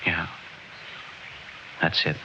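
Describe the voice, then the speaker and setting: An actor playing a young, folksy, excitable honeymooning husband in a halting, colloquial way, in 1960s radio drama style. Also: male voice. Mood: earnest, wistful. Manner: halting, repeats words, colloquial. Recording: dull, noisy old radio recording.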